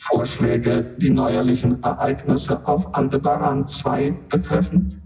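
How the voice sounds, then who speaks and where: Slow, impersonal male archive announcer, played by an actor. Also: medium pitch, level tone, lightly acted. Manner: measured, impersonal. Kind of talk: clipped catalogue announcements in a radio drama. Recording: dull, roomy sound with music.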